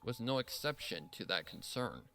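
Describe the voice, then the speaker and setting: A man's voice: on the low side, sing-song, moderate pace, sharp tone. A man reading scripted story narration as the narrator. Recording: clear background noise.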